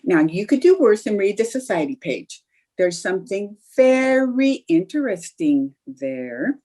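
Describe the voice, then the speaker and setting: A woman's voice: mid-range voice, very animated, unhurried, warm tone. A woman playing a scheming, stubborn, stagestruck housewife in old-time radio comedy, coaxing and indignant in a theatrical way. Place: remote video-call production.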